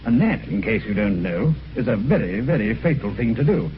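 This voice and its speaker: An actor playing a jovial, teasing British police inspector in a radio mystery, breezy and chatty. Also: male voice, fairly low pitch, big pitch swings, fairly quick. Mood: breezy explaining. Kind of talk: 1950s radio mystery acting. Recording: dull, noisy old radio recording.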